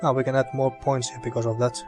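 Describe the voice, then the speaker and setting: A man's voice: medium pitch, fast talker, music in the background. A man with a dry throat casually commenting on a game as he plays, thinking aloud. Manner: relaxed, thinking aloud, mildly sweary. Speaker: gaming video host.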